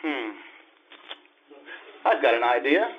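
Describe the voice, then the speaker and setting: A man's voice: mid-range voice, sing-song, takes it slowly, a little hiss or hum. A man playing an evasive, absent-minded widowed lawyer in a radio comedy, hesitant and vague. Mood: resigned. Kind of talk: stage radio-play comedy acting. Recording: dull, muffled live show audio.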